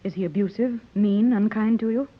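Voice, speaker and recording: A woman's voice: mid-range voice, brisk, natural voice. A woman playing a tender, anxious wealthy American mother, earnest and melodramatic in 1930s radio serial style. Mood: concerned. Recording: muffled old 1930s radio recording.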